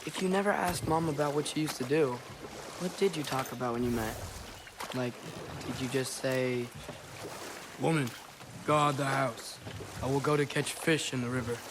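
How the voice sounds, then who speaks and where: A man's voice: medium pitch, normal speed, long pauses, background noise, close to an everyday voice. An actor playing a curious, eager teenage boy in a video game, with a chatty, youthful, inquisitive way of talking. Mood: chatty, questioning.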